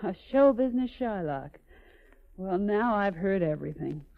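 Sassy detective's gal friday, swoopy voice, wry quips, tinny old radio. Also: female voice, mid-range voice, lively intonation, moderate pace, gravelly. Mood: teasing. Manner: wry, quippy. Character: wisecracking, teasing female assistant to a detective. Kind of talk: 1940s radio acting. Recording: tinny, narrow-band 1940s radio recording.

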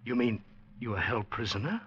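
A man's voice: medium pitch, lively intonation, brisk, a bit bright, a little hiss or hum, lightly acted. A man acting a nervous, earnest, lovestruck poet in a 1940s radio drama, speaking hesitantly. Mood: gently concerned. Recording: muffled old radio recording with music.

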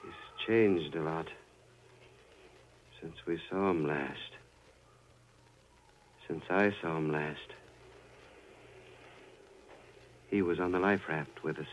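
An actor in 1940s radio drama playing a vengeful man who speaks with quiet, controlled menace. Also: a bass voice, slow, long pauses. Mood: grave. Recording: dull old radio broadcast with faint hiss.

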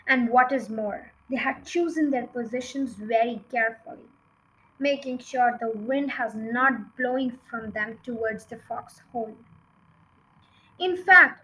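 High, bright-voiced woman reading a story. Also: low-quality recording. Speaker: audiobook reader. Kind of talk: storybook narration read aloud.

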